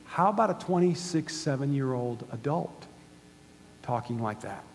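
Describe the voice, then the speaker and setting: A man's voice: medium pitch, animated, moderate pace. A man in his fifties from the United States preaching a sermon, emphatic and putting questions to the audience. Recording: hall reverb with faint hum.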